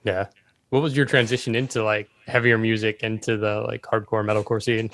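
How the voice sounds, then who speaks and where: A man's voice: on the low side, flat delivery, fairly quick, a bit bright. A man hosting a podcast, asking questions and giving short encouraging replies with easy laughter. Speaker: podcast host. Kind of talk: casual interview chat.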